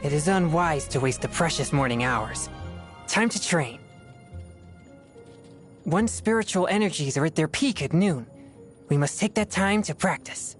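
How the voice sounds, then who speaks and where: A woman playing a solemn, disciplined teenage boy exorcist in a video game, with formal, measured speech. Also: sits low, lively intonation, soft background music. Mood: solemn, composed. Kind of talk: scripted video game character voice lines.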